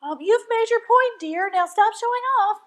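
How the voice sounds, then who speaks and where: A woman's voice: very high voice, normal speed, fairly bright tone, voice pushed up. A woman voicing a fretful, exasperated mother dragon who chides her family in a storybook reading. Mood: exasperated scolding. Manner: exasperated, chiding.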